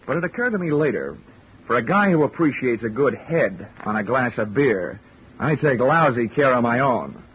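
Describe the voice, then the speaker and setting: A man's voice: mid-range voice, lively intonation, moderate pace, a little hiss or hum, natural voice. An actor playing a wisecracking male insurance investigator, narrating in a dry first-person 1940s radio drama style. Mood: wry. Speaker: lead actor. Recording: dull old radio recording with music.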